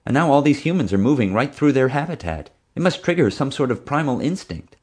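Casting: man playing earnest, chatty schoolboy nerd for storybook narration, pitched well above his deep natural voice. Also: big pitch swings, fairly quick, a little mellow, slightly rough. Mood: curious, insistent. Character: eager, nerdy schoolboy animal-park fanatic.

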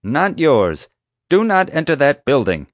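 A man's voice: medium pitch, animated, moderate pace, no echo, studio quality, voice pushed up, lightly acted. Man putting on a pompous security chief. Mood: officious, stern. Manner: pompous, clipped, commanding. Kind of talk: audiobook character dialogue.

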